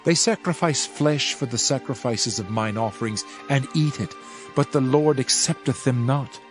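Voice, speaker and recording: A man's voice: mid-range voice, moderate pace, close to an everyday voice. A man playing a solemn Old Testament prophet in a dramatized Bible reading, declaiming judgment in a grave, formal manner. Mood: solemn, stern. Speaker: scripture narrator. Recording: music under the voice. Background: music.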